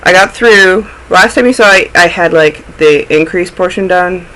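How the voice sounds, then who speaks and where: A man's voice: sits high, unhurried, pushed and bright, low-quality recording. A man with a cold, sounding snuffly, hosting a casual knitting video podcast and chatting his way through projects with plenty of tangents. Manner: rambling, goes off on tangents. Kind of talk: casual chatty show-and-tell.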